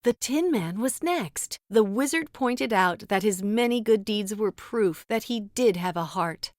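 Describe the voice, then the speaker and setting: A woman's voice: mid-range voice, animated, measured pace, darkish tone, dry recording, studio quality. A woman narrating a children's storybook as a scripted read-aloud.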